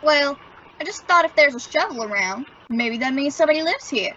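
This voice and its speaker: An eager, corny adolescent boy, voiced by a woman, high and bright with lively swings of pitch. He is the goofy son of an old-time radio comedy, heard on a slightly dull recording. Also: brisk, noisy background. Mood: earnest.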